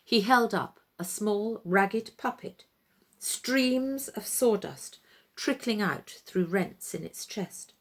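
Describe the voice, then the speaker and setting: Slow, husky, theatrical woman storyteller. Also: mid-range voice, expressive. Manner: theatrical, suspenseful, deliberate phrasing. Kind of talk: dramatic story reading.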